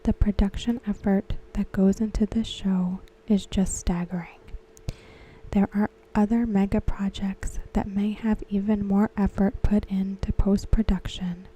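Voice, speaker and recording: A woman whispering softly and unhurriedly in an ASMR style, talking about a TV episode. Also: mid-range voice, flat delivery, measured pace, husky, low-quality recording. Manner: soft unvoiced whisper throughout. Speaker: ASMR creator discussing a TV episode.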